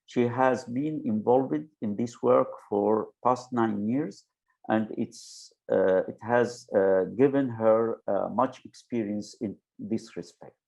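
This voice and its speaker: Halting webinar moderator, man, low and gravelly, lots of fillers. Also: a little mellow. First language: Arabic. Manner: halting, many "uh" fillers and restarts. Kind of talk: hesitant webinar presentation.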